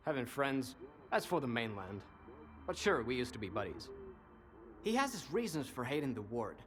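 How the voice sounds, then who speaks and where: A man's voice: mid-range voice, very animated, moderate pace, close to an everyday voice. An actor playing a cynical, worldly male informant in a video game, talkative and sardonic, with an insinuating conversational manner. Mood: wry, resigned. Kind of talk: video game dialogue acting.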